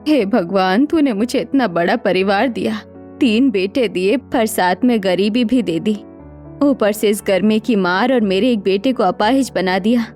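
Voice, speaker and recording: A woman's voice: mid-range voice, unhurried, a little mellow, soft background music, lightly acted. A woman voicing a worn-out, anxious old mother-in-law in a cartoon story, with a plaintive, dramatic delivery. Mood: lamenting, weary. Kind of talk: Hindi cartoon story dialogue.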